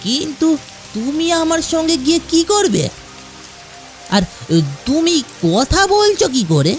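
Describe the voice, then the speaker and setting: A luckless, self-pitying fisherman in a cartoon folk tale. His delivery swings widely in pitch and is hesitant and mournful. Music sits clearly behind him. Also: sing-song, a little slow, exaggerated voice. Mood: startled, bewildered. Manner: lamenting, hesitant, stammering. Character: poor despairing male fisherman, self-pitying, simple. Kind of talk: Bengali fairy-tale cartoon acting.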